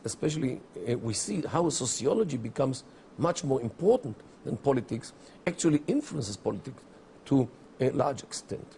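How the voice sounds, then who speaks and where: Middle-aged Israeli man, English, gravelly, slow and measured, faint hiss behind. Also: medium pitch, dark tone. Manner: deliberate, with many pauses and fillers. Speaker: interview guest, academic. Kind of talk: measured explanatory interview answers.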